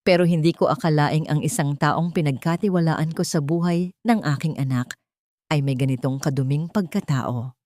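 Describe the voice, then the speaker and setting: A woman voicing a stern, wealthy older man who speaks in deep, bitter, clipped commands. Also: normal speed, mellow, close to an everyday voice.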